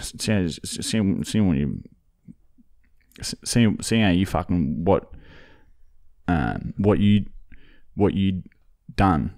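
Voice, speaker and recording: A man's voice: low-pitched, expressive, slow, long pauses, darkish tone, heavily acted. A man with an Australian accent putting on a crude, angry bloke on a prank call, swearing nonstop and stumbling over his words. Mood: agitated. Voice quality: swears constantly, rambling, stumbling restarts. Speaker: prank caller.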